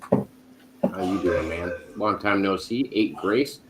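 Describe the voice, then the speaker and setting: A man's voice: sits low, slow pace, very noisy. A man from the Chicago area hosting a casual live stream, laid-back, greeting viewers and reading comments aloud.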